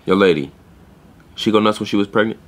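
A man's voice: lowish voice, a little fast, close to an everyday voice. A young man playing an earnest, guarded pet store clerk in a naturalistic audio drama, with blunt, slangy, hesitant phrasing. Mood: awkward, earnest. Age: young adult.

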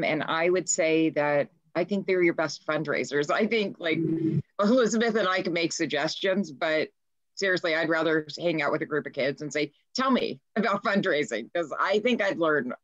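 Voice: mid-range voice, moderate pace, a bit bright, dry recording, lo-fi sound. A person speaking earnestly on a video call, urging others to involve young people. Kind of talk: casual discussion on a video call.

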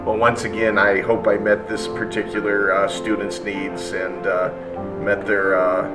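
Low-voiced man over background music. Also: completely flat delivery, unhurried. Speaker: math teacher. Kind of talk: reading reviews aloud and reacting casually. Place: indoor classroom. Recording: distant mic with music behind.

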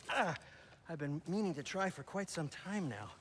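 An actor playing a patient, fussy male inventor who gives measured instructions in a fantasy video game. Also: on the high side, lively intonation, fairly bright tone, slight background noise. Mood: eager, instructive.

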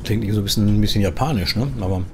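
A man from Germany giving casual, chatty commentary as he plays a trucker in a driving simulator, thinking aloud in a relaxed way. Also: lowish voice, very fast. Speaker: gaming video host playing a trucker. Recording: clear engine and vehicle noise behind. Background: truck simulator game sound.

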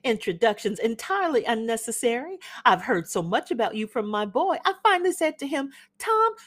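A woman reading as an older Southern mother, genteel and fussy, who chatters on in a Southern accent. Her voice climbs high and swoops wildly from note to note. She gushes without pause. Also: sing-song, a bit bright. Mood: gushing. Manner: gushing, chattering, flutters up and down. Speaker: reader of a classic play. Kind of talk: dramatic reading of a play.

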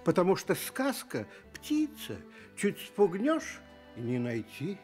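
A man's voice: sits high, a little slow, soft background music, heavily acted. An actor playing a kindly storyteller on a Soviet children's radio show, speaking lyrically and expressively to his young listeners. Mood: lyrical wonder. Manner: expressive, lyrical, addresses child as dear friend. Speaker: storyteller host.

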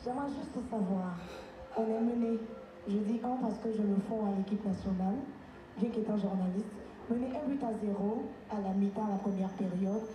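A woman's voice: medium pitch, normal speed, dark tone. A woman journalist asking a coach a long, winding question at a press conference. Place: large press conference room. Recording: echoey hall with noisy background.

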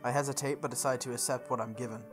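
A woman's voice: a bass voice, steady tone, rapid-fire, music in the background. A woman reading visual novel narration aloud, with casual, chatty asides.

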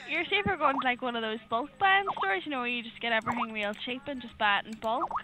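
A woman with a Northern Irish accent chatting politely and patiently as a customer service agent on a phone call, quick to giggle. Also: a little high. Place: phone line into a group video call. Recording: noisy phone call recorded off computer.

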